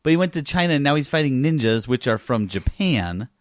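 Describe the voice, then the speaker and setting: A man banters casually as a podcast co-host. His mid-pitched voice moves up and down a lot. It is recorded very dry. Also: very animated, a little fast, dry recording.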